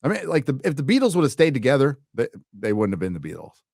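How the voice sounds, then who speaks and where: A man's voice: mid-range voice, wildly swooping pitch, quick pace, creaky, darkish tone, no echo, clean studio sound. A middle-aged man hosting a casual live show, laid-back and swearing freely, reading donations and laughing often as he talks. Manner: laughs often mid-sentence. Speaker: podcast host. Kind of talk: casual live-stream chat.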